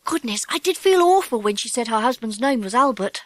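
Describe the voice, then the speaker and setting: Woman doing a high eager schoolboy, bit bossy, earnest, storybook gang leader, bouncy intonation. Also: expressive, a little fast, no echo, natural voice. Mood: thoughtful. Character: keen schoolboy gang leader, polite and decisive. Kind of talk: children's audiobook character acting.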